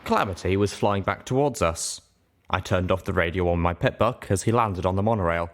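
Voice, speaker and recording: Low-voiced man narrating a story. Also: a little fast. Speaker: audiobook narrator. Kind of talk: scripted first-person story narration.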